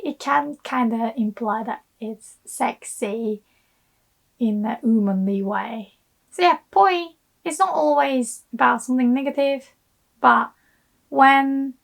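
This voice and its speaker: A young woman with a hoarse voice, since she has been ill, explaining words casually in a language lesson, with a relaxed, chatty manner and many fillers. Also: on the high side. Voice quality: hoarse, gravelly. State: hoarse from illness. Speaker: Japanese language teacher.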